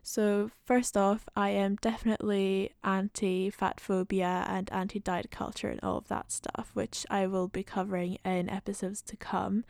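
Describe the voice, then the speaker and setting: A woman's voice: medium pitch, creaky, darkish tone, dry recording, clean studio sound. A woman in her twenties from Central Europe with a mostly Scottish, slightly international accent, speaking informally and explaining things as a solo podcast host. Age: 20s. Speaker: podcast host, medical student.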